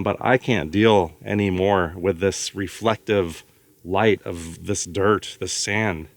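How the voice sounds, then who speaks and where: A man with a raspy voice vlogging casually outdoors in the desert, thinking aloud as he goes in and out of his truck. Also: low voice, fairly bright tone, slight background noise. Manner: thinking aloud, rambling with fillers. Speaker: travel vlogger. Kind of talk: casual travel vlog.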